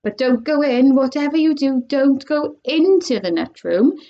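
An eccentric male candy-factory owner with a showy, insistent manner, as a woman reading aloud puts him on. His lines rise and fall in lively swings, leaning hard on each warning. The recording sounds slightly dull and lo-fi. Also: sits high, measured pace.

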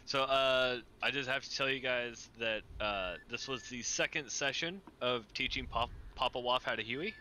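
Bright, laid-back man on game voice chat. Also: mid-range voice, normal speed, few pauses, a little hiss or hum. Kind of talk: casual gaming chat.

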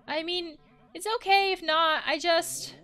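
A woman's voice: very high-pitched, steady tone, measured pace, pushed and bright, pitched above the performer's own voice, full character voice. A woman voicing a kind, hesitant human character in a visual novel game, polite and awkward. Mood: awkward, eager.

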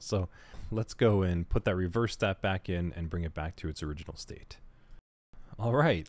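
A man teaching a cybersecurity lesson over a screen recording, talking through each step in a relaxed, conversational way and thinking aloud as he goes. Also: lowish voice, no echo. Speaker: cybersecurity instructor. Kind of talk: screen-recorded tutorial walkthrough.

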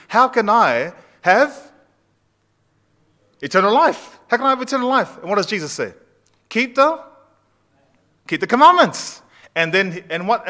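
A man's voice: high voice, pushed and bright. A man in his thirties preaching a conversational sermon in a church sanctuary, asking the congregation questions as he goes. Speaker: pastor. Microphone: far camera at back of church.

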